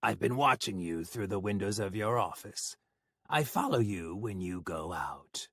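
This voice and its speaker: A man voicing a menacing young male stalker, speaking low, slow and threatening. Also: animated, a bit bright, breathy edge, no echo, clean studio sound, full character voice. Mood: blustering.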